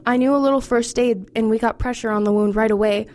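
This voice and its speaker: A woman's voice: a little high, fairly even intonation, quick pace, a little mellow, natural voice. A woman playing an anxious, defiant teenage girl in an audio horror drama. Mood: uneasy narrating. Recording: background noise and music under voice.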